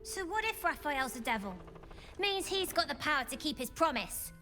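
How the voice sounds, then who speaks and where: Game role: scrappy defiant girl ringleader, bright and forceful, very animated pitch, music underneath. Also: moderate pace, full character voice. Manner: cheeky, informal street grammar. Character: scrappy, defiant streetwise young gang-leader girl. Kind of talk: video game character acting. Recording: music behind the voice.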